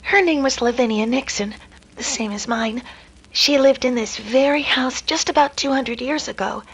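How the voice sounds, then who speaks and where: Woman acting as earnest young lady in 1930s radio play, high voice, bit breathy and husky, dramatic. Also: moderate pace, poor audio. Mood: nervous, earnest. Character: nervous, polite young lady, reenacting her defiant ancestress.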